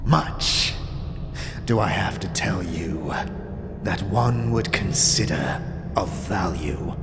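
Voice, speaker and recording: An actor playing a withered, sly and contemptuous old underworld boss in a fantasy audio drama, creaky and often dropping into breathy, taunting whispers. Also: male voice, deep voice, background noise, full character voice. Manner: theatrical, taunting, drawn-out phrases with street slang. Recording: long hall reverb, music behind.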